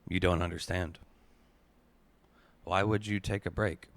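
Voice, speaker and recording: A man narrating a dramatic spoken-word piece in an intense, deliberate, emotive way with weighted pauses. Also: low voice, fairly even intonation, fairly bright tone. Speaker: narrator, essayist. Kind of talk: dramatic spoken-word storytelling in second person.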